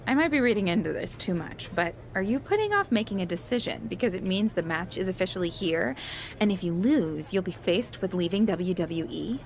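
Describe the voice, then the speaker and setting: A woman's voice: on the low side, expressive, brisk, slight background noise. An actress playing a wry, chatty documentary interviewer in a wrestling video game cutscene, probing as she talks.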